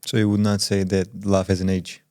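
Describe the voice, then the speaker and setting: A man's voice: low-pitched, monotone, a little fast, warm tone, dry recording, clean studio sound. A man conducting a casual interview, wordy and hedging, rephrasing his questions as he goes. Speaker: interviewer, podcast co-host.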